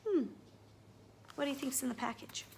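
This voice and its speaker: A woman's voice: high-pitched, normal speed, creaky, a little hiss or hum. A woman hosting a children's read-aloud and chatty demonstration, speaking directly to young listeners and asking them questions. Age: adult.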